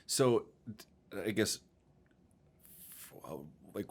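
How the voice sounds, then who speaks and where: Very deep-voiced hesitant host. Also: male voice, lively intonation, takes it slowly. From Minnesota. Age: 30s. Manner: warm-up questions with hesitations. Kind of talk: podcast interview.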